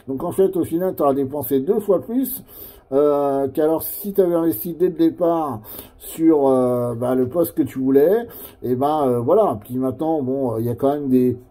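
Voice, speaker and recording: A man's voice: medium pitch, dark tone. A man with a French accent chatting casually over amateur radio, explaining things in a rambling, hesitant way. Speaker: amateur CB radio operator who makes videos.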